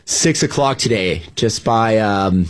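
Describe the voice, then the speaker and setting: A man's voice: on the low side, takes it slowly. A man chatting casually as a radio host, conversational and full of informal asides. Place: radio studio. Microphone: close broadcast studio mic.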